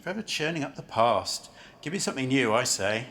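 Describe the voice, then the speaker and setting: Blunt, animated male city banker, acted by a man. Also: medium pitch, a little fast, slight background noise, close to an everyday voice. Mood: grumbling. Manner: blunt, grumbling, questioning. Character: brusque, chatty city banker waiting for a train. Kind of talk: amateur radio play acting.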